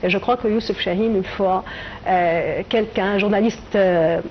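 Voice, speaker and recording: A middle-aged woman from Tunisia, a writer and translator, answering interview questions in a reflective way with many hesitant pauses. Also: medium pitch, muffled recording, a little hiss or hum.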